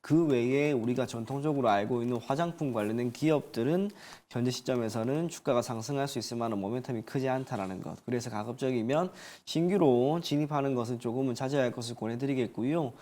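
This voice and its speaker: A man's voice: mid-range voice, quick pace, hardly any pauses, dark tone. A man working as a stock market analyst, giving formal, firm, explanatory commentary.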